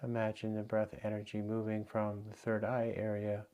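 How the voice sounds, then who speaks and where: A man guiding a meditation as a teacher, giving gentle, unhurried instructions with pauses. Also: on the low side, monotone, clear, resonant tone. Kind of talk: guided meditation.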